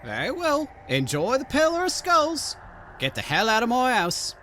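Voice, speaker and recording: A man voicing a cruel cannibal hag disguised as a half-elf woman, in a mocking falsetto singsong. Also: huge pitch swings, moderate pace, bright, forceful tone, faint music behind, heavily acted. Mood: scornful.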